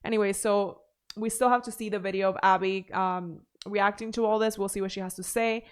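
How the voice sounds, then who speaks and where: A woman's voice: medium pitch, fairly even intonation, fairly quick, smooth. Chatty woman commentary host. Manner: chatty, reads posts aloud with asides. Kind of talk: drama commentary reading posts.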